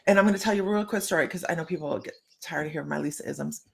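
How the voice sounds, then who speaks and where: A woman's voice: low voice, very fast, creaky. A middle-aged woman hosting a live stream as a psychic medium, chatting to viewers and greeting them by name.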